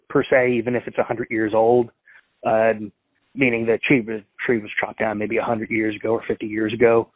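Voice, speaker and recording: A man's voice: mid-range voice, no echo. A man making a prank phone call, rambling and full of fillers and restarts. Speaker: prank caller. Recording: tinny dry phone line.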